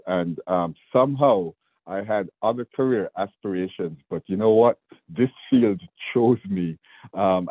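Man, 60s, Jamaican accent, deep, earnest and measured, tinny phone-like sound. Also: no echo. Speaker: state division director. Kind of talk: radio interview. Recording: dry, phone-like radio line.

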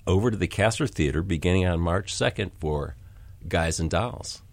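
A middle-aged man in casual radio chat, wry and self-deprecating, with halting restarts. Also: sits low, expressive, gravelly, no echo.

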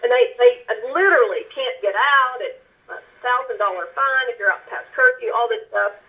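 A woman minister, a guest on the show, telling her personal testimony in an earnest, halting way, often restarting and repeating words. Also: a little high, sing-song, bright, forceful tone, rough recording. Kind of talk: personal testimony in a faith interview.

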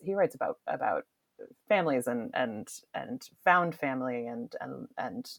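A woman being interviewed as a podcast guest, talking casually and with enthusiasm, often halting to find her words. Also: very deep voice. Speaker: podcast guest, book marketing director.

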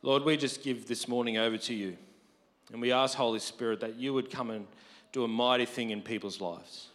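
A married man preaching a conversational sermon, his voice deep from lack of sleep, rising to emphatic quotes. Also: steady tone, brisk, fairly bright tone. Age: adult, married. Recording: long hall reverb.

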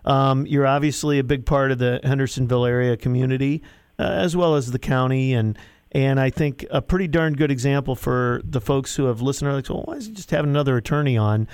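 A man's voice: medium pitch, a little fast, darkish tone, clear, resonant tone, no echo. A man hosting a local radio show in a folksy, easygoing way. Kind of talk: casual radio interview. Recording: dry studio sound.

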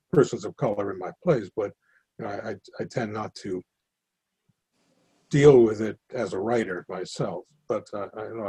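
A man with a very husky, dark voice speaks reflectively as a playwright. He is hesitant, repeating small words as he carefully works toward his point. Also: fairly low pitch, poor audio. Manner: hesitant, stammering repetitions. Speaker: playwright giving feedback. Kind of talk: reflective discussion.

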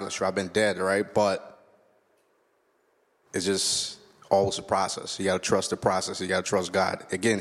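Deep-voiced man giving an earnest testimony. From Liberia. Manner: earnest, candid and reflective. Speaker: guest speaker sharing testimony. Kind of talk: personal testimony on stage. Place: church stage. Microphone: stage microphone. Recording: long reverb of a large hall.

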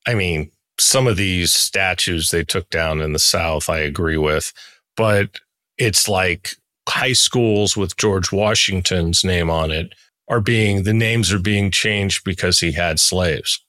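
A man's voice: low-pitched, slow pace, bright, forceful tone, no room sound. A man talking casually as he interviews a guest as host.

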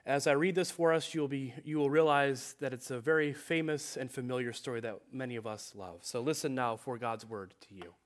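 A man's voice: medium pitch, a little fast, hardly any pauses. A man in his thirties, a pastor, reading scripture and preaching in a conversational way with many fillers, his voice congested and a little nasal. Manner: conversational with frequent fillers and self-corrections.

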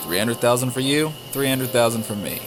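A man's voice: medium pitch, brisk, natural voice. A man playing a wry, roguish smuggler pilot in an audio drama, casual and cocky. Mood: haggling. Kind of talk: audio drama character acting. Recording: music playing behind the voice.

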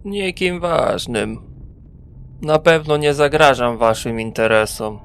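A man playing a wary, self-assured wandering traveller in a fantasy audio drama, with a measured, dry delivery. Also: medium pitch, creaky, a bit bright, clear, resonant tone, soft background music. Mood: calm, sly.

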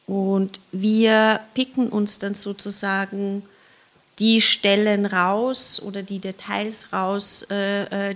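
A woman theatre director answering interview questions in a hesitant way, with many filler sounds. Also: medium pitch. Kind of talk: podcast interview guest.

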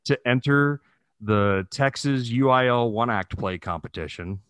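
Male podcaster, 30s, middle pitch, casual and profane joker, dry sound. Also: measured pace, a bit bright, clean tone, no echo. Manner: profane, jokey storytelling with frequent chuckling. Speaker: podcast co-host. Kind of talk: casual comedic chat.

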